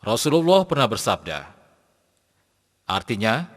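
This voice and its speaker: A man voicing a patient cartoon Islamic teacher, explaining to young pupils in a measured, didactic way. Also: lowish voice, very animated. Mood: patient, instructive. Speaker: religious teacher. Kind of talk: scripted cartoon lesson narration. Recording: long hall-like reverb.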